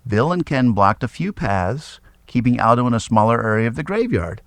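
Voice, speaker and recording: A man narrating a scripted children's story in an expressive, dramatic read-aloud style. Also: medium pitch, moderate pace, no echo.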